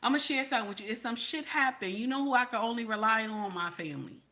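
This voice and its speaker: A woman talks straight to her livestream audience in a bright, forceful voice. She is emphatic and reassuring, circles back to the same points, and keeps tagging her lines with "okay?" Her tone stays casual and unpolished, with the odd curse. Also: on the high side, fast. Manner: reassuring, frank and conversational, with some swearing. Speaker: livestream host. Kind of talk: casual livestream talk to viewers.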